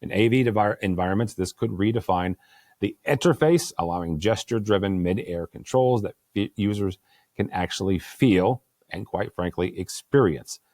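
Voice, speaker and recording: A deep-voiced man hosts a news roundtable in an easygoing way, dropping joking asides between stories. His voice sits fairly low. The sound is close and dry, with no room around it. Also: moderate pace, darkish tone, no echo. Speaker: host. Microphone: good studio microphone.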